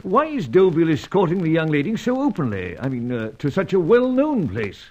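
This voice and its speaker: Skeptical titled gentleman, British accent, fairly high voice, dry pompous manner, dull vintage radio recording. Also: sing-song, a little fast. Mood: cautioning. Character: suave, skeptical older British spymaster knight, paternal and dry. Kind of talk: old-time radio adventure serial acting.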